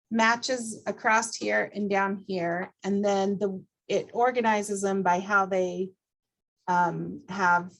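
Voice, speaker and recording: A woman leads an informal walkthrough of a computer program. She speaks at an average pitch in a conversational, explanatory way. She takes her listeners through it step by step, as a class presenter does. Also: poor audio.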